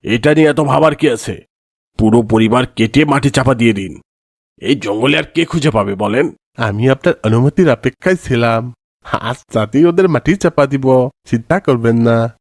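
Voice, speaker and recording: A man's voice: mid-range voice, no room sound, natural voice. Guy doing a mean cartoon forest bandit, scheming n gloating, drags out threats, dry sound. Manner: gloating, threatening, drawn-out taunts. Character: menacing forest bandit chief and scheming timber merchant villain. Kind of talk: cartoon villain voice acting.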